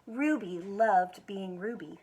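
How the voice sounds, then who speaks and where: An American woman with a husky voice reads a children's picture book aloud expressively, stretching words for emphasis. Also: mid-range voice, a little hiss or hum. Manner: expressive, with drawn-out emphasis and quiet asides to show pictures. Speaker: executive director of a schools foundation, guest reader.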